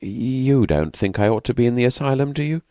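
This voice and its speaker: A man voicing a frightened, fragile young woman who has escaped from an asylum, speaking softly and hesitantly. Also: mid-range voice, expressive, fairly quick, no room sound, pitched above the performer's own voice, lightly acted. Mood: fearful, wistful. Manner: hesitant, vacant, soft-spoken.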